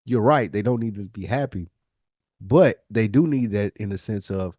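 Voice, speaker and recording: A man's voice: on the low side, moderate pace, warm tone, no echo, studio quality. A male podcast co-host chatting and debating casually in a slangy, profane, insistent way.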